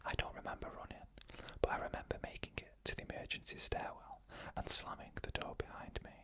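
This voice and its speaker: An ASMR storyteller reading eerie first-person stories in a fully unvoiced whisper, slow and measured, with drawn-out pauses. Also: pushed and bright.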